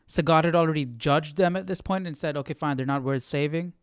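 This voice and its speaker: A man's voice: fairly high pitch, brisk, clean tone, dry recording, clean studio sound. A man hosting an informal Bible study, thinking aloud in a hesitant, reflective way. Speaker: live Bible study host.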